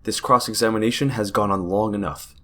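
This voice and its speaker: An actor playing a stern, formal judge in an audio drama, solemn and deliberate. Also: male voice, on the low side, fairly even intonation, over loud music, natural voice.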